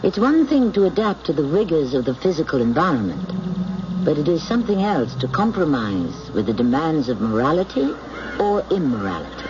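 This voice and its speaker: A man's voice: a little high, sing-song, a little fast. A man hosting and narrating an old-time radio drama in a theatrical, literary manner. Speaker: host and narrator. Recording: muffled, noisy old radio recording.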